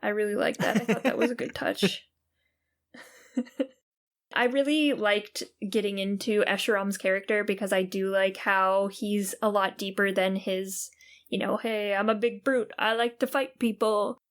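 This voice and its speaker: Woman, medium-pitched voice, podcast co-host, playful, informal, conversational game lore chat. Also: fairly even intonation, normal speed. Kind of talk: casual video game lore discussion.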